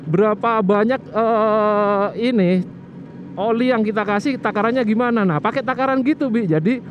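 A man with a high voice talks through a scooter tip in a loose, rambling way, often stopping to restart a phrase. He is recorded on an action camera outdoors, so the sound is muffled and dull, with faint traffic behind him. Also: normal speed. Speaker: motovlogger. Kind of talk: casual how-to vlog chat. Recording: muffled action-cam audio with street noise.

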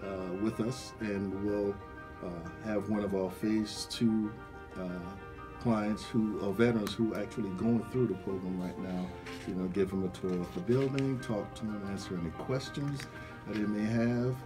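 A man answering questions as a radio interview guest, speaking in halting, hesitant phrases. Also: on the low side, fairly even intonation, music in the background. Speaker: guest, veterans program representative. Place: small radio studio. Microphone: studio microphone.

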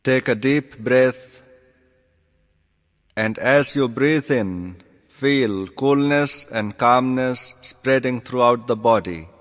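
A man teaching yoga through guided meditation, calm and deliberate, giving instructions in short measured phrases. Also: medium pitch. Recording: long reverberant hall sound.

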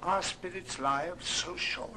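An actor playing a fearful, humbled old miser with halting, awed, theatrical speech in an old radio drama. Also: male voice, high voice, background noise. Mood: anxious, appalled.

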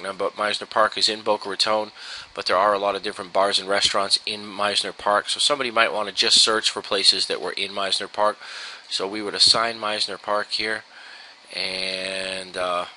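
An American man giving an informal software tutorial, explaining step by step in a casual, instructional way. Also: fairly low pitch, flat delivery, fairly quick, a little hiss or hum, rough recording. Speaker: software trainer. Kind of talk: informal screen-share tutorial. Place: indoors, at a computer.

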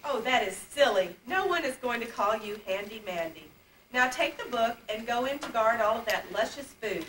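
A woman's voice: fairly high pitch, lively intonation, moderate pace, fairly bright tone. A woman playing a kindly, reassuring young princess in an amateur puppet play, speaking earnestly. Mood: coaxing. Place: indoor puppet stage, far camera. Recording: distant, echoey, noisy old camcorder tape.

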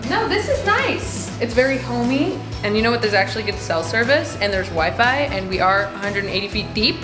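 A young woman in her twenties chats on camera, giggly and teasing. Her voice sits a bit high and swings lively up and down. She is picked up by a handheld camera mic in an underground bunker. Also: a little fast, over music. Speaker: vlogger. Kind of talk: casual vlog chat. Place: underground bunker rooms and hallways.

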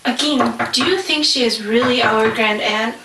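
Woman playing a high-voiced, trusting little girl. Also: normal speed, lightly acted. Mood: timid. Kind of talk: puppet show stage acting. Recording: echoey room from far off, faint noise.

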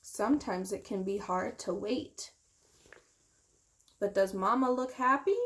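A woman's voice: mid-range voice, fairly bright tone. A young woman reading a picture book aloud to children expressively, drawing out key words and explaining it in simple terms. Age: young adult. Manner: slow, deliberate, drawn-out key words. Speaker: teacher reading a children's storytime.

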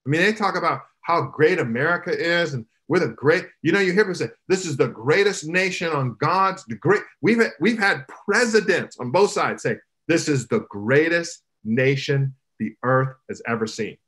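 Emphatic middle-aged pastor on a video call. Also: male voice, on the high side, expressive, moderate pace, few pauses, fairly bright tone. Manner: emphatic preaching cadence, gestures broadly. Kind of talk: animated panel discussion. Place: home room, indoors. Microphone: video call, webcam from below.

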